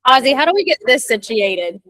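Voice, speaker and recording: A woman's voice: high-pitched, very animated, a little fast, a bit bright, dry recording. A woman chatting casually and playfully with colleagues before a training session. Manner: chatty, playful, talks over others. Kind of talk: casual chat.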